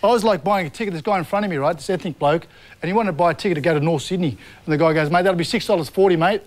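A man doing a fast, shouty stand-up routine, heard from a distance. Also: sits high. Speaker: stand-up comedian.

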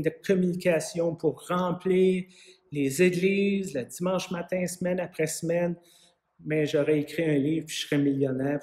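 Slow, high-pitched Québécois man preaching. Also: darkish tone. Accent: Quebec French. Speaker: parish pastor. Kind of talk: sermon. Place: small vaulted room with an arched window, indoors. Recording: echoey room.

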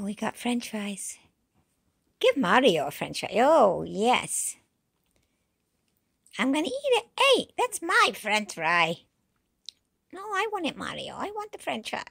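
A woman voicing a cheerful, childlike green dinosaur in pretend play, with a high, squeaky, sing-song voice, gasping, exclaiming and now and then dropping to a whisper. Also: gravelly, fairly bright tone, dry recording, studio quality, full character voice. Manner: gasping and exclaiming, asks questions, drops to whispers.

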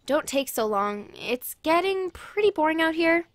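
Brazen, indignant woman for audio drama actress; high, animated, dry recording. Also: unhurried, no room sound, full character voice. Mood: bored, sarcastic.

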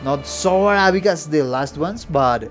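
A man's voice: fairly high pitch, lively intonation, moderate pace. A man in his thirties giving casual reaction commentary as a musician. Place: small home music room. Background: game trailer music.

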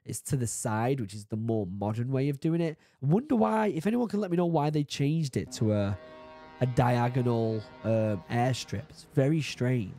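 A man in his thirties from the UK, a bit hoarse from being sick, chatting casually as he reacts to a video and reads labels aloud, excited now and then. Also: medium pitch, normal speed, dry recording, soft background music. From United Kingdom. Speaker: YouTube reaction host. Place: home study with bookshelves. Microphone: studio mic on boom arm, headphones.

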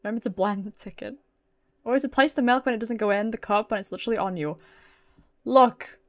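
High-voiced British woman streaming a game. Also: a little fast. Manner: chatty, sweary, reacting aloud to the game. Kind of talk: casual game stream commentary, reading dialogue aloud.

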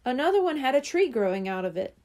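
Animated higher-pitched woman reading children's fiction. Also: fast talker. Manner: animated, acts out dialogue. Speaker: audiobook reader. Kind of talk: expressive children's storybook narration.

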